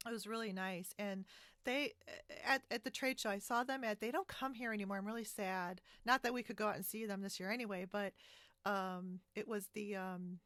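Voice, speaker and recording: An American woman hosts a casual interview in a conversational, wandering way, feeling out her phrases as she goes. Her voice sits at a middle pitch and is recorded dry, with very little room sound. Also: fairly even intonation, normal speed, fairly bright tone, no echo. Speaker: podcast host. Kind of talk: casual interview chat about a hobby. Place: remote split-screen video call.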